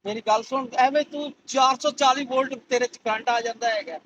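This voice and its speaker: A man's voice: extremely high pitch, steady tone, brisk, far from the mic. A man playing a meddling, bribe-taking uncle in an amateur comic skit, glib and insistent. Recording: noisy amateur location recording.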